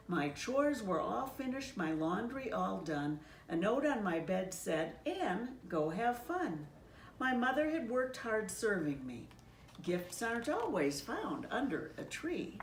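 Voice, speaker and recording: A woman pastor reading a children's story aloud in a measured, gently expressive storybook delivery. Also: medium pitch. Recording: echoey room, distant mic, faint noise.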